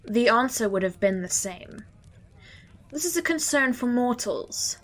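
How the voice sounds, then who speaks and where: An actress playing a weary, scornful tusked judge of the dead in an audio drama, speaking theatrically. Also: female voice, a little high, animated, measured pace. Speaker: afterlife judge.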